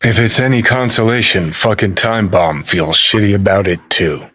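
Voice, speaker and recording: An actor playing a cynical, brash rebel rocker in a video game, with a sardonic, profane and taunting manner. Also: male voice, fairly low pitch, normal speed, fairly bright tone. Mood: sardonic, dry.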